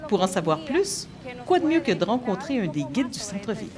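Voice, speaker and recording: A woman's voice: mid-range voice, sing-song, brisk. A woman of nineteen from the Dominican Republic, hosting a travel show in a scripted presenting style. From Puerto Plata, Dominican Republic. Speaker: host and local guide. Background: background music.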